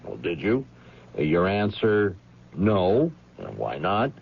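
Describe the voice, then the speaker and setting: An actor playing a dogged police lieutenant in a 1970s radio drama, questioning suspects in a methodical, insistent manner. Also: male voice, sits low, huge pitch swings, slow pace, gravelly, breathy edge, heavily acted. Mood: probing, insistent. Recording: muffled, noisy old radio broadcast.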